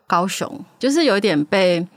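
A woman's voice: mid-range voice, lively intonation, moderate pace, no echo, studio quality. A woman with a Taiwanese Mandarin accent, talking casually as a guest author explaining her writing on a podcast.

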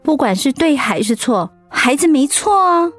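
A woman acting a tender, sincere middle-aged wife and friend in a radio drama, speaking softly and at a measured pace of thought. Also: high voice, very animated. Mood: concerned, sympathetic. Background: background music.

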